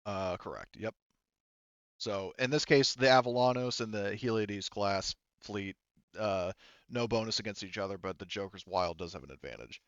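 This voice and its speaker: A man acting as game master of a tabletop roleplaying game, explaining rules and often pausing to think aloud. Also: lowish voice, no room sound, highs cut off.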